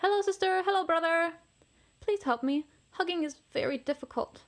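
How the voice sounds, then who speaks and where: A woman's voice: very high voice, normal speed, voice pushed up. A woman voicing a tiny young male T. rex, earnest and determined, in a storybook read-aloud. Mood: pleading.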